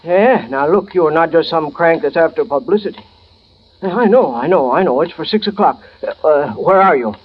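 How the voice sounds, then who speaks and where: An actor playing an urgent, earnest defense attorney in a vintage radio drama, clipped and insistent in delivery. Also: male voice, on the high side, expressive, moderate pace, a little hiss or hum, lightly acted. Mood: urgent, impatient. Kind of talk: 1940s-50s radio drama acting. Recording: muffled old radio recording.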